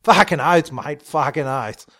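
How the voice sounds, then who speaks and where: A man's voice: a little high, measured pace, fairly bright tone. A man voicing a sarcastic, swearing Australian bogan bloke in a comic audio story, blunt and mocking. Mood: amused agreement.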